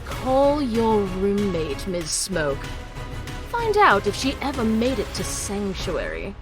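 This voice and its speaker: A woman's voice: mid-range voice, wildly swooping pitch, slow pace, music in the background. A woman playing a menacing villain in an audio drama, taunting with mock sweetness and condescension. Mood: scornful. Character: mocking, menacing villain taunting a young magician.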